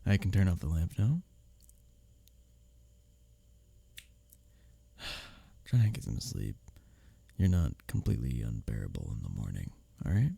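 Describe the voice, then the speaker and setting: Low, gravelly male rival, acted by a man. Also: wildly swooping pitch, moderate pace, mellow, no echo, heavily acted. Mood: tired exasperation. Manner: intimate, teasing, sardonic, chuckling between lines. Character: teasing, bickering male workplace rival, secretly caring. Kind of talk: ASMR audio roleplay, one-sided dialogue.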